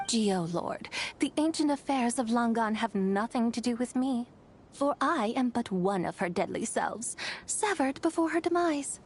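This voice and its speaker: Haughty, high-voiced female spirit. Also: animated, a little slow, darkish tone, a little hiss or hum. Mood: aloof, teasing. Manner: theatrical, archaic, teasing formal speech. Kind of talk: fantasy game cutscene acting. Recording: music behind the voice.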